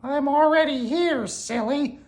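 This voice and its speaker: A storyteller voicing a frightened, cheeky little mouse with a squeaky voice in a children's story. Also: a little slow.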